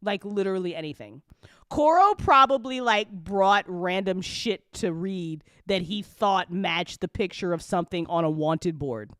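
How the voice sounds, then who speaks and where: A woman chatting casually and unscripted on an after-show, telling stories in a rambling, animated way with slangy swearing. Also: lowish voice, unhurried, no room sound, clean studio sound. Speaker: audio drama creator chatting on a live after-show.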